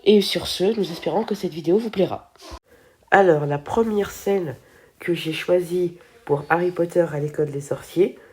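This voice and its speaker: Teen girl host with a stuffy cold; low, mellow voice, chatty and a bit stumbling. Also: normal speed. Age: teens. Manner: chatty, trips over words and restarts. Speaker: film video co-host. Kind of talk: casual video commentary.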